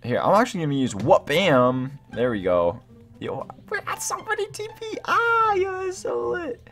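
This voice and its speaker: A young adult man gives casual gameplay commentary, excitable and slangy as he thinks aloud. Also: sits high, moderate pace, soft background music. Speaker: gaming video host.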